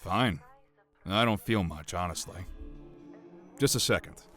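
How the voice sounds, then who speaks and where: Guy playing a cocky numb soldier, deep-ish voice, shrugs everything off, audio drama w faint music. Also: unhurried, a bit bright. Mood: nonchalant. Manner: flippant, nonchalant. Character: cocky battle-hardened guardsman who feels no pain.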